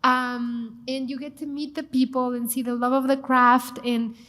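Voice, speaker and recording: A woman's voice: sits high, flat delivery, normal speed, fairly bright tone. A woman from Mexico with a Mexican accent, a cooking show host speaking expressively and emphatically as a panel guest. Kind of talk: panel conversation.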